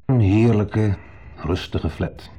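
An actor playing a wistful, provocative ex-husband visiting as a guest in a 1970s radio drama, with a wry, probing manner. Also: male voice, lowish voice, takes it slowly, dark tone, husky. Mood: wistful, bitter. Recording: muffled 1970s radio recording.

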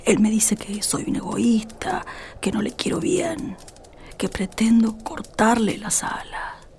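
A woman playing a troubled, humble rural mother in a radio drama, speaking earnestly in a breathy voice with a northwestern Argentine accent. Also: fairly high pitch, expressive, slow pace, darkish tone, in a whisper. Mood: distressed. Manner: earnest, plaintive, rustic.

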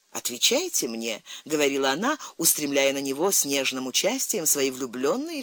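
A narrator reading literary prose in an expressive storytelling style. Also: mid-range voice, no echo. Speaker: audiobook narrator.

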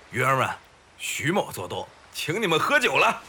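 A genteel, wistful young scholar, played by a man, speaks slowly in courteous literary phrases. His bright voice swings widely in pitch, as in a period drama. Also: slight background noise, exaggerated voice. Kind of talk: Chinese period audio drama acting.

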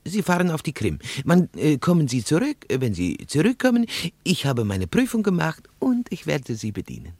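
An eager young Russian interpreter. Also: male voice, fairly high pitch, wildly swooping pitch, normal speed, hardly any pauses, darkish tone, slightly rough, no room sound, exaggerated voice. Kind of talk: 1950s radio play acting.

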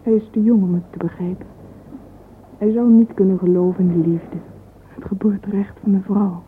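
An actress playing a dreamy, vain young woman in a radio drama monologue, intimate, sentimental and often breathy. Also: mid-range voice, fairly even intonation, moderate pace, natural voice. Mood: wistful, hurt. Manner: intimate, wistful, often breathy and half-whispered. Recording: narrow phone-like old radio recording, faint hiss.

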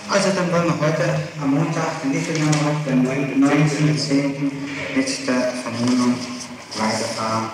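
A man's voice: mid-range voice, steady tone, moderate pace. Man questioning formally in a very echoey courtroom. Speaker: presiding judge questioning a defendant. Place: large reverberant courtroom. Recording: very echoey, noisy archival courtroom tape.

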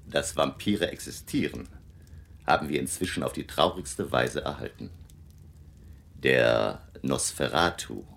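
Stern learned vampire hunter, emphatic lecturing, creaky voice, very expressive. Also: male voice, extremely low pitch, exaggerated voice. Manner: deliberate, emphatic, professorial. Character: grave, learned male vampire hunter lecturing his allies. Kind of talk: German radio drama acting.

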